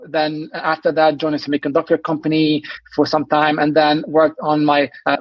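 A man's voice: a little high, breathy edge, no echo, highs cut off. A man from Lebanon, a venture investor, telling his background in a podcast interview in a fluent, storytelling way. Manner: fluent, storytelling, some restarts.

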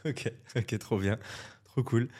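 A man hosting a podcast, asking questions in a relaxed, chatty way with frequent restarts. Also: medium pitch, slow pace, slightly rough. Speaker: podcast host. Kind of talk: casual interview hosting.

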